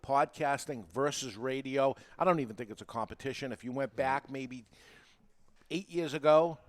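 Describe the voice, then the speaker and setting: Male podcast host, mid-pitched, making his case informally and emphatically, with repetition and rhetorical questions. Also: moderate pace. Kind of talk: informal talk show monologue, explaining and persuading.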